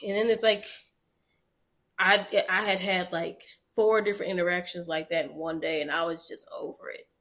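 A woman co-hosting a casual podcast, telling stories in animated, quick bursts with frequent laughter. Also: lowish voice, creaky. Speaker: podcast co-host. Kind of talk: casual chat.

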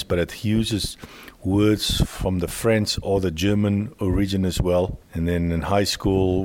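A South African man, a native Afrikaans speaker, telling stories about his childhood as an interview guest. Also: deep voice.

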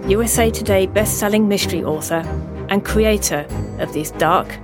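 A woman's voice: mid-range voice, moderate pace. A woman host reading a scripted introduction to a crime fiction podcast. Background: music under the voice.

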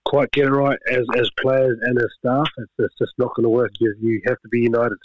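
A middle-aged man, chatting as a guest in a relaxed way, often repeating words as he gathers his thoughts. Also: mid-range voice, a little fast, no echo. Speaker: guest, rugby skills coach. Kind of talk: casual interview chat. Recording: slightly dull lo-fi sound.

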